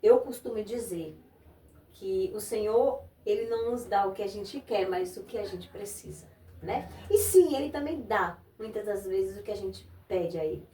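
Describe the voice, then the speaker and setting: A woman from Brazil hosting a religious interview podcast, affirming her guest with frequent amens and gently preaching. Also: mid-range voice, expressive, moderate pace. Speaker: podcast host. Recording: echoey room, voice a bit far from mic, faint noise.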